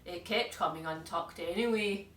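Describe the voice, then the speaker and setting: A cheerful storybook giant as a woman acts him out, proud and hearty. His delivery is emphatic and bright, with an echoey room around it. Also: fairly low pitch, moderate pace, recorded from a distance, natural voice. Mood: cheerful, kind.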